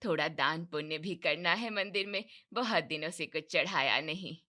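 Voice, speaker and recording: A sharp, bright-toned voice of a domineering mother-in-law, as a woman puts her on, scolding her family loudly and indignantly. She talks in a demanding, aggrieved way. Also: low voice, moderate pace, pitched below the performer's own voice.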